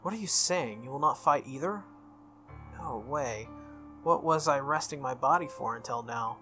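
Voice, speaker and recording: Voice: medium pitch, expressive, normal speed, sharp tone, faint music behind. A game streamer voicing a proud, stubborn young female warrior servant with formal, resolute speech in a visual novel. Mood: indignant.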